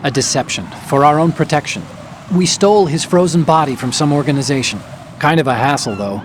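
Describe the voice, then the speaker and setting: An actor playing a calm, articulate male idealist who explains things earnestly, in a video game. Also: a little high, brisk, a little hiss or hum, lightly acted. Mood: explanatory. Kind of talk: dramatic video game acting.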